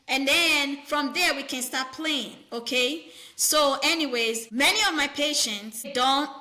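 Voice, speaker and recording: Bright-voiced woman from Nigeria. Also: high-pitched. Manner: animated, firm, storytelling with quoted patients. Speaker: nurse practitioner, guest. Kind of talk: conversational interview.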